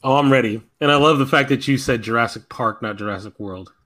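A man's voice: medium pitch, fast, sharp tone. A man co-hosting a podcast in casual banter with friends. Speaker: podcast co-host.

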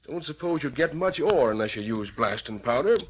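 An American actor playing an earnest, resolute old settler leader with folksy, measured speech in a radio Western. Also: male voice, mid-range voice, animated, background noise, close to an everyday voice. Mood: earnest, reasoning. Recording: muffled vintage radio recording.

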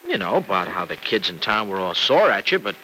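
A man playing an earnest, conflicted young married man in a 1940s radio soap, speaking emphatically and haltingly in colloquial phrases. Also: fairly low pitch, very animated, bright, forceful tone. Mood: friendly, sheepish. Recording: noisy vintage radio drama recording.

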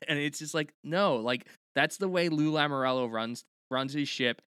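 New guy on a sports podcast, chatty and emphatic, bright clear tone, dry close sound. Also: fairly high pitch, normal speed, no echo. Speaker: podcast co-host. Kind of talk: casual hockey podcast chat.

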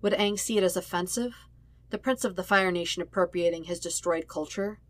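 A woman's voice: lowish voice, brisk, no room sound. A woman reading a story aloud as scripted narration. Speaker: podfic reader of fan fiction.

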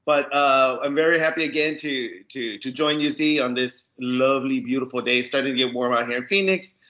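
A man's voice: medium pitch, brisk, sharp tone. A man co-hosting a podcast, joking and teasing in casual chat. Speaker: podcast co-host. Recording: dull lo-fi sound.

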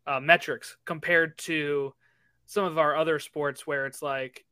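A man's voice: on the high side, steady tone, unhurried, sharp tone, clean tone, no echo. A man co-hosting a podcast in casual sports chat, agreeable and affirming. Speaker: podcast co-host.

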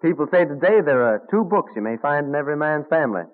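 A man's voice: a little high, lively intonation, quick pace, close to an everyday voice. An actor playing an eager, polite, admiring young colonial tradesman in an old radio drama. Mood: eager admiration. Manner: polite, deferential, enthusiastic. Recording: tinny narrow-band vintage radio recording.

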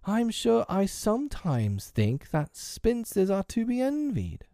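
A man puts on a prim young girl playing mother in a storybook tale. The pitch is lifted high and swings about, with a fussy, scolding tartness. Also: huge pitch swings, moderate pace, warm tone, no room sound, clean studio sound, full character voice. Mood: exasperated. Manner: prim, scolding, slightly tart.

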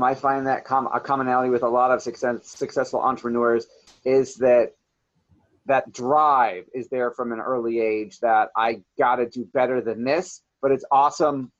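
A man's voice: medium pitch, fairly even intonation, moderate pace, no room sound. Upbeat male interview host, effusive, dry and slightly dull-sounding audio. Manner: upbeat, effusive, frequent "awesome". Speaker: podcast host. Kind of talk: enthusiastic interview questions.

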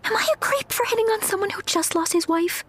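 A woman's voice: very high voice, quick pace, fairly bright tone. A woman playing an awkward, easily mortified young aspiring actress in a comedic audio drama, flustered and dramatic. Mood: embarrassed.

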